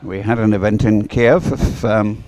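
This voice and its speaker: A man's voice: lowish voice, a little slow, a little mellow, rough recording. A man giving live race commentary in a conversational, hesitant way, often thinking aloud.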